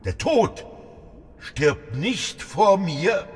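An actor playing a brooding, stubborn old whale in a literary radio drama, speaking solemnly and deliberately. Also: male voice, sits high, sing-song, long reverb tail, soft background music, exaggerated voice. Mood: stubborn, distrustful. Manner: solemn, slow, deliberate, retelling and questioning.

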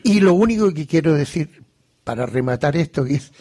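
A man's voice: fairly high pitch, lively intonation, brisk, mellow. An older man presenting informally to an audience at a live event, often repeating words and phrases as he goes.

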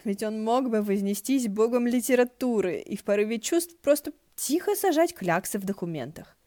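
A woman's voice: fairly high pitch, expressive, normal speed, warm tone, dry recording, clean studio sound. A woman narrating fiction from a script as an audiobook reader, in a wry storytelling style.

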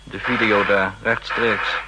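An actor playing an ambitious, brisk deputy intelligence officer with a raspy voice in a 1960s radio drama. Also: male voice, lowish voice, level tone, heavy background noise. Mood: eager, scheming.

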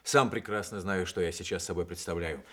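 Low-voiced, stoic older war veteran. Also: male voice, steady tone, a little fast, a bit bright. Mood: frank, resigned. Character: ailing male war veteran officer, stoic and jovial. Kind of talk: Soviet radio drama acting.

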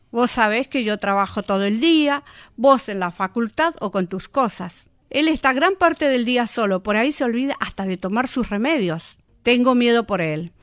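A woman's voice: on the high side, no echo, studio quality, natural voice. A woman playing a busy, determined mother and daughter in a radio drama, with an Argentine accent. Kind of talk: amateur student radio drama acting.

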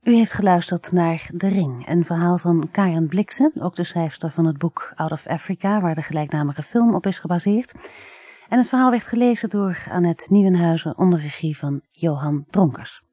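Female continuity announcer: lowish pitch, warm dark tone, dry studio-style sound, scripted delivery. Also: animated, normal speed, dry recording. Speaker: radio announcer.

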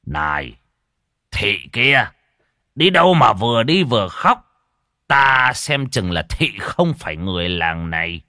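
A man voicing a kindly older village official in a storybook, halting and gently questioning in old-fashioned rustic speech. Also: very deep voice, very animated, dry recording, clean studio sound, voice pushed down, exaggerated voice. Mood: curious.

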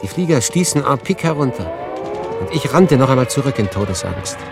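An actor playing a sober, reflective refugee man who narrates his own story in a measured, literary radio drama style. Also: mid-range voice, very animated, vocal fry, loud music behind the voice. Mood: tense, dreamlike. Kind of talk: scripted first-person radio drama narration.

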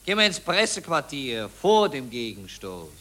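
An actor with an Austrian accent playing an indifferent, irritable army doctor, brusque and colloquial, in a satirical radio play. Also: male voice, mid-range voice, very animated, moderate pace, bright, forceful tone. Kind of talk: satirical radio drama in verse.

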